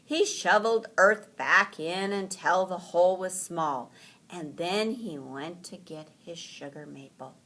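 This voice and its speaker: A woman reading a children's story aloud in an animated, deliberate storytelling manner. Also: fairly low pitch.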